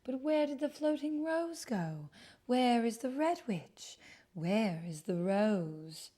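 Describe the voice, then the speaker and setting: A woman's voice: fairly high pitch, very animated, takes it slowly, dark tone, voice pushed up, heavily acted. A woman playing an unhinged, teasing female vampire in a text roleplay, dreamy and taunting. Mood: eerie taunting. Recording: noisy, keyboard typing and clicks.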